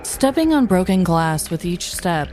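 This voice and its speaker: Young woman, lively swooping pitch, playful comedic podcast host, exaggerated delivery. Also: unhurried, faint music behind. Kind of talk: casual comedic banter and dramatized true-crime storytelling.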